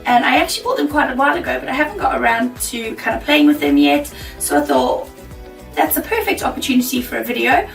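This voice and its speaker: A woman with a croaky, worn voice chatting casually to camera as a baking vlogger, bubbly and laughing easily. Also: sits high, expressive, quick pace, roomy sound, far from the mic, faint music behind. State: croaky, losing her voice.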